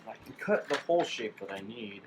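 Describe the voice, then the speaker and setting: Male DIY hobbyist, low-pitched, casual and musing, talking half to himself; clearly noisy recording. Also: lively intonation, fairly quick. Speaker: DIY electronics hobbyist narrating his work. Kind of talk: casual thinking-aloud workshop chat.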